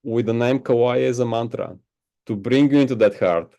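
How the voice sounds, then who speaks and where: A man who teaches meditation, answering interview questions in a slow, reflective way and often checking in with "right?". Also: mid-range voice, warm tone. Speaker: spiritual teacher. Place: home on a Hawaiian island.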